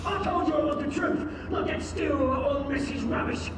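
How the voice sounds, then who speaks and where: A man's voice: extremely high pitch, moderate pace, loud music behind the voice. An actor playing a taunting, crude British gangster villain in a video game, performing like a sadistic showman. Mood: scornful, commanding. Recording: echoey, distant, very noisy game scene.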